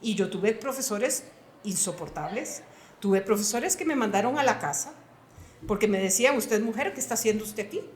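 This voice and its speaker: A woman's voice: mid-range voice, lively intonation, brisk, echoey room, slight background noise. A woman in her sixties with a Costa Rican accent, a retired computing professor answering interview questions in an earnest, emphatic way. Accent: Costa Rican Spanish. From Costa Rica.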